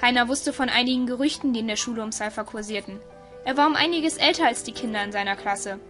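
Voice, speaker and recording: A woman's voice: a little high, quick pace, a bit bright. A woman narrator reading a scripted audio story. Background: music.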